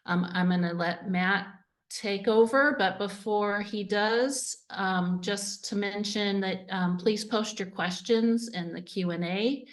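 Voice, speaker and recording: A woman's voice: medium pitch, slow, hardly any pauses. A woman hosting a webinar on a video call, enthusiastic and talking with many fillers. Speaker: event host. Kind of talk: webinar introduction.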